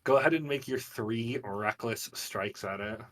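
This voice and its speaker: A man's voice: mid-range voice, unhurried, fairly bright tone, far from the mic. A man acting as game master, narrating a live tabletop game and explaining rules in an informal, vividly descriptive way. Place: online group game stream.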